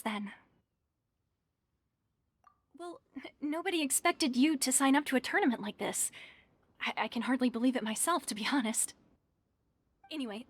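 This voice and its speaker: A woman's voice: sits high, dry recording. A woman playing a polite, starstruck young tournament registrar in a video game, hesitant and easily flustered. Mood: polite, candid.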